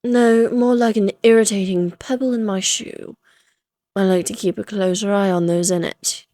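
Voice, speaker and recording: A woman's voice: mid-range voice, fairly even intonation, moderate pace, dark tone, dry recording, natural voice. A woman voicing an ancient, amused and self-assured female vampire who taunts the listener in formal, theatrical phrases, in a Victorian fantasy audio roleplay. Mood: amused, musing.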